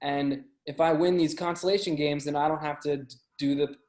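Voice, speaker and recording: A man chatting casually as a podcast guest, telling anecdotes in a halting way, often repeating and stumbling over words. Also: on the high side, steady tone, darkish tone. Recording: roomy, echoey sound.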